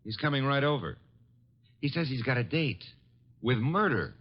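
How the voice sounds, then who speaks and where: An American actor playing a brisk, cheeky detective's assistant who also narrates a 1940s radio mystery. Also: male voice, mid-range voice, lively intonation, lightly acted. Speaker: narrator and sidekick. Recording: noisy, muffled vintage radio recording.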